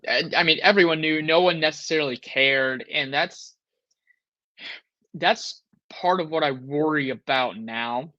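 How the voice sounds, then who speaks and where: Thirtyish guy on a sports pod, bright sharp voice, kinda dull dry audio, lots of restarts. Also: a little high, a little slow, no echo. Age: 30s. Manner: rambling with many restarts, frustrated. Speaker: podcast co-host. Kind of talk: casual sports talk show.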